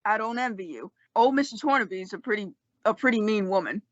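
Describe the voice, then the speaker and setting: A woman's voice: mid-range voice, lively intonation, a little fast, close to an everyday voice. A woman playing a frank, concerned frontier town doctor in an old-time radio western, with folksy, advising talk. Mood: concerned, questioning. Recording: dull lo-fi radio drama recording.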